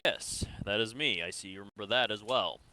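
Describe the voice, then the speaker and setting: A man playing a patient, reassuring comrade in a sci-fi game roleplay, asking questions in a measured, coaxing way. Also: on the low side, sharp tone, no room sound, poor audio. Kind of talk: improvised online roleplay acting.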